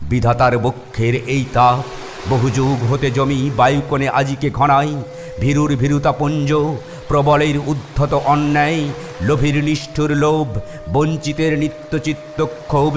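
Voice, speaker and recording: A man reciting poetry dramatically, in a solemn, declamatory manner with measured pauses. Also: fairly high pitch, a little hiss or hum. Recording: long hall-like reverb. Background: music.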